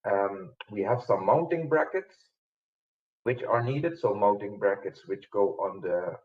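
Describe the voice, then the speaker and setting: A man giving a webinar product presentation in a halting, explanatory way, with frequent hesitations. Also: low voice. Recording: muffled phone-like webinar audio.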